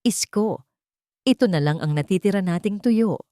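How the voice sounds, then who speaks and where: A woman voicing a kind, devout young mother in an audio story, speaking gently and respectfully. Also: medium pitch, expressive, normal speed, no echo, clean studio sound, close to an everyday voice. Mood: worried. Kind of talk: audio story character dialogue.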